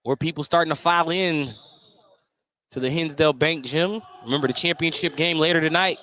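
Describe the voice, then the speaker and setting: An American man doing live radio play-by-play of basketball, with clipped running descriptions and drawn-out calls on baskets. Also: on the high side, a bit bright. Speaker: play-by-play commentator. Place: courtside in a basketball gym. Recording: some noise, gym ambience.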